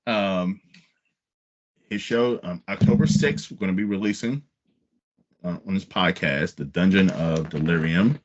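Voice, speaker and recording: A man's voice: low-pitched, measured pace, long pauses, highs cut off. An American man hosting a casual interview, speaking with enthusiasm and repeating phrases for emphasis. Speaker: podcast host interviewer.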